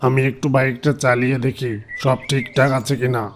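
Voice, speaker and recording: A man's voice: mid-range voice, level tone, fairly quick, very noisy, natural voice. A man voicing a grumpy, boastful uncle tiger with a threatening, self-important manner in a cartoon. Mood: boastful, vengeful. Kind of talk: cartoon character voice acting.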